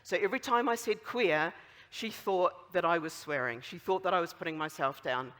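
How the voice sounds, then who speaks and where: Brief: New Zealand-accented male conference speaker, high bright voice, wry and chatty, long hall echo. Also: moderate pace. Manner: chatty, wry humour, many fillers. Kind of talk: conference closing talk.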